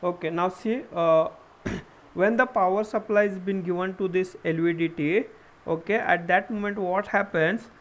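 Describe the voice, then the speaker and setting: High-pitched Indian-accented male lecturer. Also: fairly even intonation, normal speed. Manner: explains through self-posed questions, repeats phrases. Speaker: engineering lecturer. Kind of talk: live online lecture.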